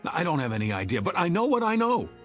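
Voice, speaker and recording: A man's voice: a little high, huge pitch swings, fast talker, fairly bright tone, soft background music. A man acting as an indignant forty-year-old fighting to prove who he is, with an agitated, sarcastic dramatic delivery. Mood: defiant. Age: 40s. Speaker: actor.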